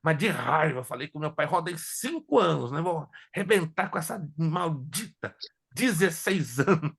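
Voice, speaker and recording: A man teaching a theology class as a pastor, whose first languages are Hebrew and Spanish, lecturing in an animated way and joking with his students. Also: fairly high pitch, moderate pace, vocal fry, slightly rough. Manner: animated, jokes with students, asks if they follow.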